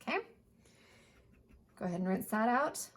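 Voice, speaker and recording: A woman art teacher giving a chatty step-by-step painting lesson, her voice hoarse. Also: medium pitch, animated, normal speed. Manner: chatty, plain instructional talk.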